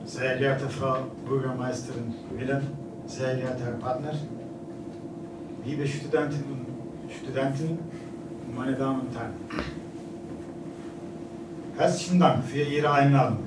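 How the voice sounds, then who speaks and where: A man in his forties from Turkey with a Turkish accent, giving a formal speech with halting hesitations and fillers. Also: mid-range voice, steady tone. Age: 40s. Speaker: consulate representative giving a speech. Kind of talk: formal speech at an exhibition opening. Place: event hall. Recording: echoey hall, distant, noisy.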